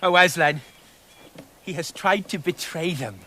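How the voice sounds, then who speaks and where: Earnest elder brother, halting and slow. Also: male voice, a little high, bright, forceful tone. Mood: troubled, confessing. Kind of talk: British radio drama acting. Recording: noisy outdoor ambience behind the voice.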